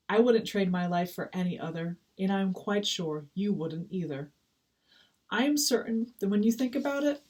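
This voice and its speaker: A woman's voice: fairly low pitch, warm tone. A woman as a grand, earnest storybook walrus. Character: wise, courteous, long-winded walrus in a picture book. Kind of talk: storybook read-aloud.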